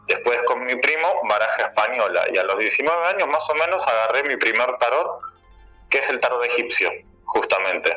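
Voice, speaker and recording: A man's voice: medium pitch, expressive, fairly quick, pushed and bright, soft background music, poor audio. A man with a Buenos Aires Argentine accent, talking casually as a card-reader guest in a radio interview.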